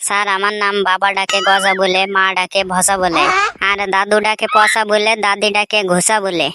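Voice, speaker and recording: A woman's voice: mid-range voice, level tone, normal speed, over music, natural voice. A woman voicing a cheeky, dim-witted job candidate in a comic cartoon, mock-polite and answering back.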